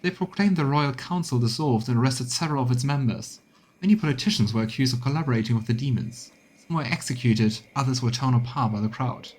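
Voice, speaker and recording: A man's voice: medium pitch, quick pace, a little mellow, natural voice. Man voicing a formal, pleading noblewoman courtier. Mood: grave, formal. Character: haughty noblewoman courtier turned pleading and devoted. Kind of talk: reading video game dialogue aloud.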